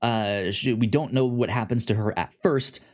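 A man's voice: medium pitch, moderate pace. A man hosting a podcast, retelling a comic plot in loose casual chat, often stuttering into repeated syllables. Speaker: podcast host. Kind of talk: casual comic book discussion.

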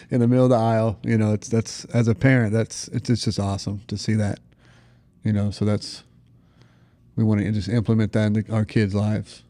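A man's voice: on the low side, level tone, normal speed, dark tone. An American man in his thirties talking casually and earnestly as a parent, often dropping in "you know". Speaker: parent sharing family testimony. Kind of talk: casual conversational testimony.

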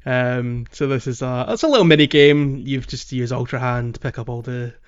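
A man's voice: medium pitch, moderate pace, smooth, dull audio. A man hosting a casual gaming podcast, explaining things in a hesitant, meandering way. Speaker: podcast host.

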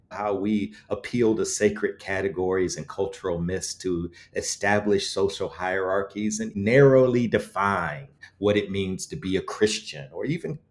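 A man's voice: low-pitched, a little mellow. A man speaking as an interviewed author, thoughtful, earnest and articulate, with rhetorical emphasis. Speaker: author interviewed about his book.

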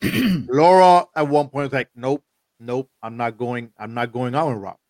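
Emphatic host, checking in with "okay?". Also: male voice, mid-range voice, measured pace, darkish tone, no room sound. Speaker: show host. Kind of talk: casual talk show hosting.

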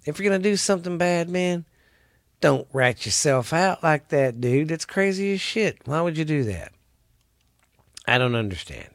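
A man's voice: on the high side, big pitch swings, moderate pace, no echo, clean studio sound. A man with a Texan accent hosting his own podcast in a laid-back, rambling way, swearing freely and sounding stuffy and congested. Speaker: podcast host. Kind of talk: casual solo podcast chat.

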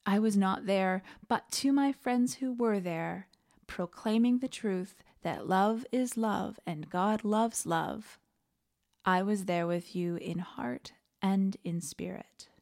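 Woman, podcast host, scripted narration, creaky fry in the voice, medium pitch. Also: takes it slowly, a little mellow.

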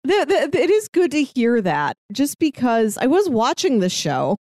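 Lively-pitched woman, close dry sound. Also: a little slow, dry recording. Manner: informal, conversational. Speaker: podcast host. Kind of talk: casual review chat.

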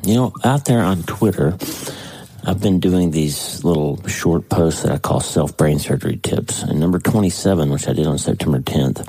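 Very deep, congested, husky man. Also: normal speed, dark tone, no echo, rough recording. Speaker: podcast host. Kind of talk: casual devotional talk.

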